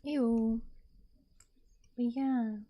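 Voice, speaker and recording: Girl streamer just chatting to her viewers, kinda high but mellow voice, rambles and repeats herself. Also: steady tone, very slow. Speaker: streamer, artist and ASMR creator. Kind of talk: casual livestream chat to viewers.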